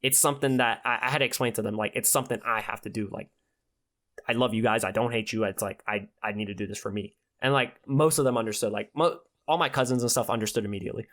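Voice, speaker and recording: A man's voice: lowish voice, fairly even intonation, fast, a bit bright. 20 yr old guy on a podcast, casual n slangy, kinda earnest. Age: 20 years old. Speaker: podcast guest.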